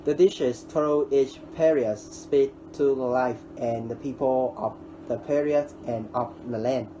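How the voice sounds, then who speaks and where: A man's voice: mid-range voice, fairly even intonation, measured pace, mellow, poor audio. Man narrating over noise. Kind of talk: scripted narration read in English. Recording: background noise.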